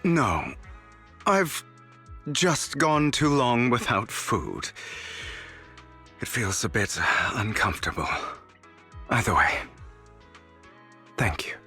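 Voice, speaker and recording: A man's voice: mid-range voice, sing-song, takes it slowly, long pauses, pushed and bright, full character voice. An actor playing a suave, theatrical young man in a video game, wry, resolute and elegant in his phrasing. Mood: subdued, wry. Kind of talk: dramatic video game voice acting. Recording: music behind the voice.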